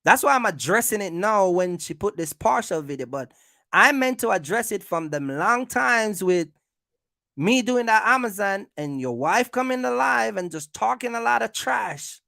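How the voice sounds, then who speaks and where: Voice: medium pitch, expressive, moderate pace, vocal fry, bright, forceful tone, no room sound, clean studio sound. A content creator delivering a casual, confrontational rant, repeating points and throwing out rhetorical questions. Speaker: YouTube content creator, trucking vlogger. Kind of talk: casual rant to camera, calling out rivals.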